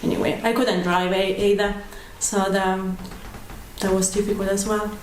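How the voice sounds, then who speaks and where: A woman's voice: medium pitch, level tone, moderate pace, slight background noise. A woman from Uruguay with a Uruguayan Spanish accent, a native Spanish speaker recalling her arrival with hesitant, restarting sentences. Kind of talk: documentary interview. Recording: roomy echo.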